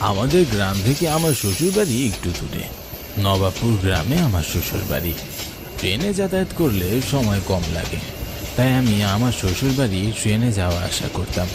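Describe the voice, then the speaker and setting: A man's voice: on the low side, very animated, moderate pace. A man voicing an older villager who recounts a ghost encounter from his youth in a suspenseful storytelling manner for a cartoon. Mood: uneasy, suspenseful. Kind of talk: dramatic ghost story narration. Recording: noisy night ambience with chirping crickets.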